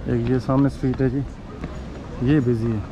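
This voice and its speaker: A man's voice: medium pitch, flat delivery, moderate pace, dull audio. A man in his forties giving casual walking-tour commentary as a travel vlogger outdoors, mixing in English words. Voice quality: Urdu-Hindi speech mixed with English words. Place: outdoors on city streets and squares. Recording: outdoor street noise and ambience.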